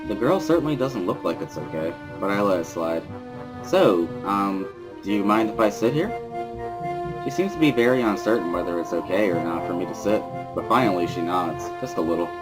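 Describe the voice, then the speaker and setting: A man with a lowish voice narrates a story over clearly audible music. His delivery is that of a scripted dramatic reading. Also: expressive, quick pace, few pauses, poor audio.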